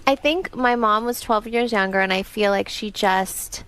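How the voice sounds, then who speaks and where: A woman's voice: medium pitch, normal speed, a bit bright, smooth, no room sound, poor audio. A woman guest on a radio interview, telling her family story in a candid, thoughtful way. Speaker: celebrity guest. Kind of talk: casual interview chat. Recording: radio studio.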